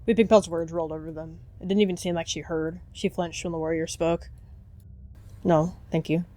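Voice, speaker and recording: A woman's voice: lowish voice, steady tone, fast talker, dark tone. A woman reading scripted story narration for an animal fantasy roleplay.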